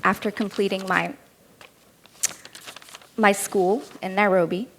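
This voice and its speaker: A woman's voice: medium pitch, steady tone, slow pace, slight background noise. A woman in her thirties with an Indian accent, giving a keynote talk as a personal story told in a candid, lightly humorous way. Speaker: keynote speaker.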